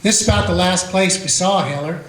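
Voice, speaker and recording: A man playing a steady small-town Old West lawman in a radio play, telling the story plainly in the first person. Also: high-pitched, fairly quick. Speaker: narrator and lawman character. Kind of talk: amateur radio play acting. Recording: echoey room with guitar music.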